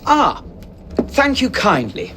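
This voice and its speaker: Cheeky, lively British rogue. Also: male voice, very high voice, slow, very noisy, heavily acted. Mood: cheeky, breezy. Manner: playful, mock-courteous. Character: cheeky, roguish Victorian ex-carnival man, unflappable. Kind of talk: video game character acting.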